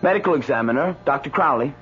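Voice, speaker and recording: A man's voice: fairly high pitch, animated, fast talker, lightly acted. An actor in a radio drama playing an anxious, rattled doctor, hesitant and repeating himself as he talks. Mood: nervous, deferential. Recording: dull old radio broadcast, faint hum.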